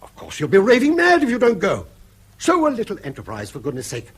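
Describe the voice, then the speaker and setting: An old, bankrupt British grandfather in a radio play. He is sardonic, shameless and spoiling for an argument. His husky voice swings widely in pitch as he blusters on. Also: wildly swooping pitch, fast, heavily acted. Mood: needling. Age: older. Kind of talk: British radio drama acting.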